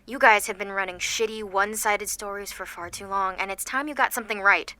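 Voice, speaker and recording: Teenage girl supervillain played by a woman, bright and forceful, theatrical, sardonic, anxious underneath; podcast drama monologue. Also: mid-range voice, a little fast, dry recording, lightly acted. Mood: defiant, theatrical. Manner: theatrical, sarcastic, swearing. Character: seventeen-year-old girl supervillain, sarcastic, defiant, anxious. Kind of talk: audio drama monologue, recorded confession.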